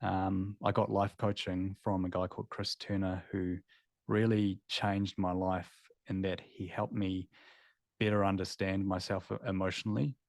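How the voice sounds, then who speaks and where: Low-voiced New Zealand man, dry sound. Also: flat delivery, moderate pace, vocal fry, no echo. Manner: candid, reflective, with filler words. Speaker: guest, former veterinarian. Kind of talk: podcast interview storytelling.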